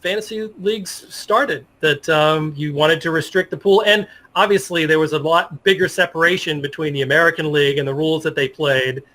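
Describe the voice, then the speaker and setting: A middle-aged man with a very bright, forward voice sitting somewhat high in pitch. He talks casually as an interview guest, picking his way through sentences in a halting, modest manner. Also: steady tone. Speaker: interview guest, sports journalist. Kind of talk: casual interview conversation about fantasy sports.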